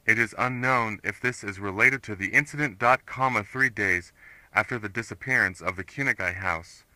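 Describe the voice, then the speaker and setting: A man playing a grim retired investigator, reciting a horror case report in a flat, formal manner. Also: on the low side, normal speed, no room sound, low-quality recording. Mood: grim, detached. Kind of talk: scripted narration, analog horror case report.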